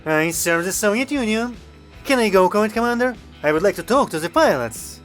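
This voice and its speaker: A woman's voice: mid-range voice, lively intonation, a little fast, soft background music, natural voice. A woman playing an eager dutiful soldier girl. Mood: earnest. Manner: earnest, questioning, dutiful.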